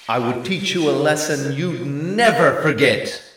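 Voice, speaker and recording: A man's voice: on the high side, measured pace, a bit bright, reverberant, far from the mic, voice pushed up. A man putting on a roaring angry giant. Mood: bellowing. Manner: roaring, bellowing. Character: huge angry Scottish male giant, furious.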